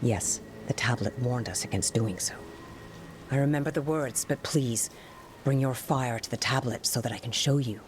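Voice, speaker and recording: An actor playing a Qunari scholar and translator, grave and formal. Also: female voice, a bass voice, moderate pace. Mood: solemn. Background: music.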